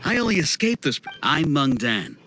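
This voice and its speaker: A man's voice: fairly high pitch, big pitch swings, moderate pace, pushed and bright. A man voicing a polite, grateful, mild-mannered merchant in an anime video game, speaking courteously and expressively. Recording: clearly noisy game capture.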